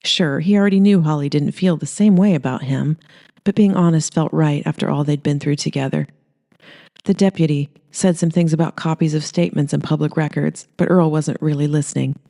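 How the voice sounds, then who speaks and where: A storyteller narrates a scripted comic horror audiobook. The voice is mellow and relaxed, sitting at a middling pitch. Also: fairly quick, creaky.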